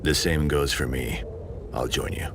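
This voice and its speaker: A man playing a loyal, gruff fantasy warrior in a dramatic video game scene. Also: rumbling low, steady tone, measured pace. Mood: surprised, somber. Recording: clearly noisy background ambience.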